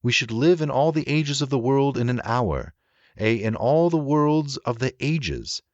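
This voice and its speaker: A man narrating a literary audiobook in a measured, formal and expressive reading manner. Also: mid-range voice, dry recording, lo-fi sound.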